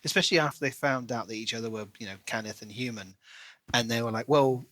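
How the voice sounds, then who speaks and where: A man's voice: medium pitch, fast talker, a bit bright, no echo. A man with a mid-range voice talks through a book as a podcast co-host. He is casual but analytical, working out his thoughts as he goes. The sound is dry, with little room on it.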